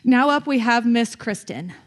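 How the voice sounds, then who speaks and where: A woman hosts a youth awards event and cheers on the speakers in an upbeat way. Her voice sits a little high for a woman, and she sounds encouraging as she hands over to the next speaker. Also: lively intonation, moderate pace. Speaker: event emcee.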